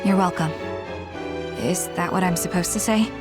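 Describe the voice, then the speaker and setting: A woman's voice: on the low side, normal speed, over loud music, lightly acted. An actress playing a reserved, blunt and pensive young female fighter in a video game, with guarded, matter-of-fact delivery. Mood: cool, matter-of-fact. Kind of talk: English video game voice acting, dramatic dialogue.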